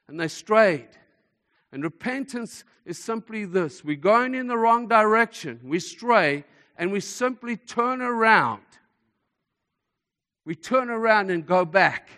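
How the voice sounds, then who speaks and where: Guy preaching a sermon, higher-ish voice, emphatic n repetitive, big hall reverb. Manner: emphatic, repeats phrases for effect, swings between hushed and forceful delivery. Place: large hall, speaking to a congregation. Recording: echoey hall with long reverb.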